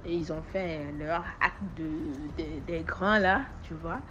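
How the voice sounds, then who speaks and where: Low-voiced West African woman, noisy recording. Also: steady tone, unhurried, a bit bright, lo-fi sound. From Togo. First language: not French. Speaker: testimony giver. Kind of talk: informal spoken personal testimony.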